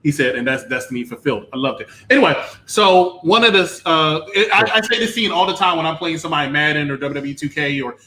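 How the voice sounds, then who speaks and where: A man's voice: a little high, animated, fast, hardly any pauses, bright, forceful tone. A man on a film review panel giving his opinions in casual, bantering talk with friends.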